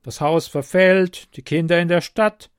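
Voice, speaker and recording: A man's voice: on the high side, steady tone, a little slow, clear, resonant tone, no room sound, studio quality, pitched above the performer's own voice, lightly acted. A man voicing a small, grumpy green male house goblin who talks in a scornful, snappish way. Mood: grumbling.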